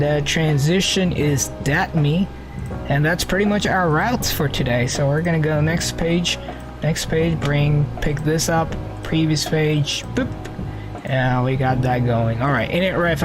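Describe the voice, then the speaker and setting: A man hosting a flight simulator live stream in a casual, chatty way, thinking aloud as he sets up the cockpit and greeting his viewers. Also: a little high. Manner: greets and thanks viewers warmly. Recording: music playing under the voice.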